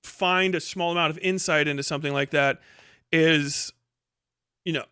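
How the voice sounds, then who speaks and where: A man's voice: on the high side, fairly even intonation, moderate pace, sharp tone, clear, resonant tone, no echo, studio quality. A man hosting a livestream, giving rambling, emphatic and sweary opinionated commentary in casual speech. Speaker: livestream host.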